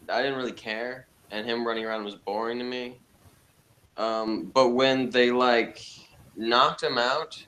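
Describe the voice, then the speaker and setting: A man's voice: mid-range voice, bright, forceful tone. A man giving a blunt, dismissive review in casual conversation. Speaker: podcast reviewer. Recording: clearly noisy background.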